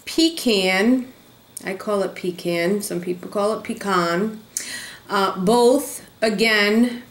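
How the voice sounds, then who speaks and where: A woman's voice: mid-range voice, echoey room. A woman in her thirties from Rhode Island, with a Rhode Island accent, chatting casually through an accent tag vlog with plenty of ums. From Rhode Island, USA. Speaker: vlogger.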